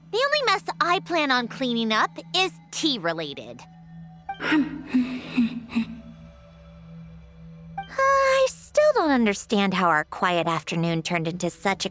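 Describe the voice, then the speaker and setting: An actress playing a weary, sardonic female inventor in a video game, speaking slowly with a dry, sighing delivery. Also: mid-range voice, huge pitch swings, exaggerated voice. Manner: dry, sighing, slow and reflective. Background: soft game music.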